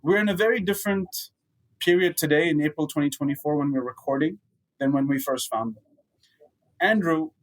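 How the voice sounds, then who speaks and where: A man's voice: a little high, a little fast, low-quality recording. A man hosting a business podcast as an investor, giving a prepared but conversational introduction. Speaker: podcast host, venture capital investor.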